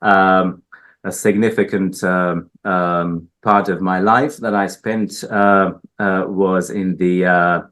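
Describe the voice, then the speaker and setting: A man's voice: low-pitched, fairly even intonation, slow pace, fairly bright tone. A man from Hungary, a linguist answering interview questions, talking hesitantly with many fillers and restarts. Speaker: linguist guest. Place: research institute building.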